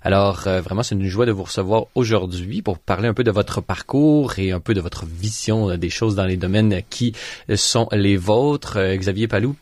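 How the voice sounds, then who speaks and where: A man's voice: lowish voice, moderate pace, darkish tone, no echo. A man, a radio host interviewing a guest in a friendly way with frequent hesitations. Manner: friendly, hesitant with many euh.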